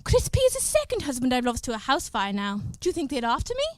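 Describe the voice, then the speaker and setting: Vain fairy-tale widow, high voice, very animated, gushing, flustered, affectedly polite, comic radio play. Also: female voice, quick pace, dry recording.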